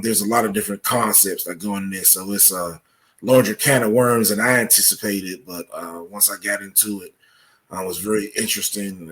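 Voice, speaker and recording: A man explaining his research as an interview guest, with halting, measured phrasing. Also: deep voice, pushed and bright.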